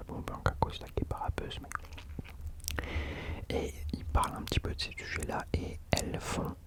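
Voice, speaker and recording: A man speaking in an unvoiced whisper, soft and informal, chatting as the host of an ASMR video into a close microphone. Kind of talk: whispered ASMR talk about rap.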